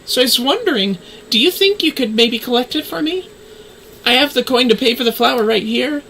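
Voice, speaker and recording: Man putting on a high, timid, mournful young woman. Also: lively intonation, fairly quick, creaky, bright, forceful tone, slight background noise, heavily acted, rough recording. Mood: downcast, hesitant. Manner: hesitant, apologetic. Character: grieving, timid young woman, soft and hesitant.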